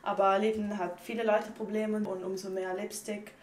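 A woman's voice: mid-range voice, flat delivery, normal speed, a little mellow. A young woman with a Swiss German accent talks through her tips in a loose, chatty way, padding her sentences with fillers. She is recorded in a small tiled bathroom that rings with echo, with a faint hiss underneath. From Switzerland. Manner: chatty and unpolished, with many fillers like "und so". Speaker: vlogger. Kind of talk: casual beauty tips vlog. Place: home bathroom, indoors. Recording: echoey bathroom with faint noise.

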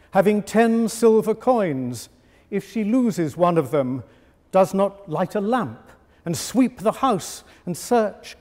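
A man giving a formal scripture reading at a choral evensong, with a measured, solemn delivery. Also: high voice, darkish tone. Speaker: lesson reader. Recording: long reverb of a chapel.

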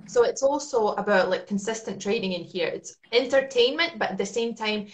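A woman's voice: mid-range voice, moderate pace. A woman from Latvia with a Latvian accent, talking as a forex trader in a casual video-call interview, chatty with lots of fillers. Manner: chatty, laughs often, many fillers. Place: small indoor room at night. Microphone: video call webcam.

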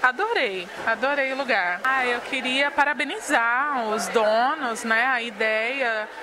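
High-pitched young Brazilian woman. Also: animated, unhurried, crowd noise. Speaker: customer being interviewed. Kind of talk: casual interview. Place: busy restaurant. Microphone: handheld interview microphone. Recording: noisy restaurant background.

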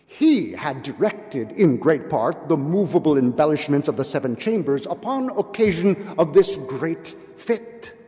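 An older man giving a dramatic, deliberate literary reading of a gothic tale, with hushed drops and swells, at a lectern microphone. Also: fairly high pitch. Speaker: reader at a public recitation. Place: large indoor meeting hall, at a lectern. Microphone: gooseneck lectern microphone. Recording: echoey hall with long reverb.

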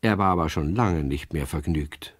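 A man's voice: very deep voice, moderate pace, no room sound. A man playing the storyteller of a children's radio play, reading scripted narration. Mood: measured storytelling.

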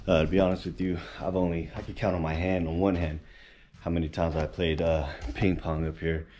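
Deep-voiced man vlogging at the gym. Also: a little fast, slight background noise. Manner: relaxed, chatty, laid-back. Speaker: vlogger touring his gym. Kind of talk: casual vlog narration. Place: weightlifting gym, indoors. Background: music.

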